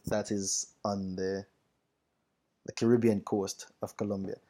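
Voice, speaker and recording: This is a Jamaican man with a fairly low, dark and relaxed voice. He talks casually, like a teacher sharing what life abroad has been like. He leans on his points with emphasis, often saying a phrase again to drive it home. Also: unhurried. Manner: emphatic, repeats phrases for stress. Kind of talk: casual spoken reflection.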